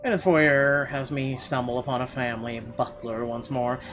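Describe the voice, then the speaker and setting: A man's voice: medium pitch, steady tone, moderate pace. A man streaming a game, reading its narration aloud with the odd stumble and offhand aside. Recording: game music behind the voice.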